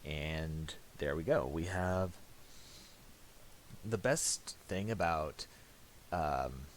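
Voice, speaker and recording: Male tutorial presenter, low and unhurried, halting and unscripted, casual delivery. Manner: halting, thinking aloud. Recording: faint hiss and mouse clicks.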